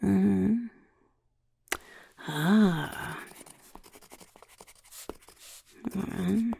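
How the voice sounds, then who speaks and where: Creaky, soft-spoken goblin boss. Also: female voice, medium pitch, very slow, no echo, heavily acted. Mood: curious, musing. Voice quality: raspy, creaky, half-whispered. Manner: speaks of self in third person, muttering, chaotic. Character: scheming, self-important goblin boss interviewing horde recruits. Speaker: interviewer. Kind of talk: soft-spoken ASMR roleplay.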